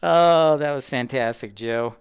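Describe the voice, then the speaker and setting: Male educator-host for a casual livestream. Mid-pitched, clean voice, rambling conversational delivery, very little room sound. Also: a little slow, clear, resonant tone, no echo. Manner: chatty, rambling, addressing viewers by name. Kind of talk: casual livestream chat with viewers.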